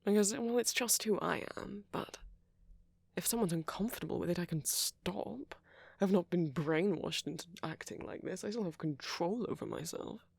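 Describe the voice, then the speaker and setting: A man playing a friendly, flirty vampire in an intimate ASMR roleplay, softly spoken, polite and apologetic as he rambles. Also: high voice, normal speed, creaky, breathy edge, dry recording, exaggerated voice. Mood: sheepish, earnest. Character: friendly, flirty, gentlemanly male vampire, chatty and considerate.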